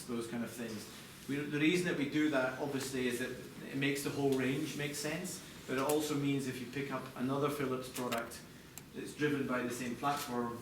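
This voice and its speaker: Male presenter, design talk, measured explanatory delivery, slightly higher-middle register not needed; distant mic in a reverberant, noisy space. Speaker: chief designer presenting products. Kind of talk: product design presentation to a live audience. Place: large echoey indoor presentation space. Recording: echoey room, distant mic, background noise.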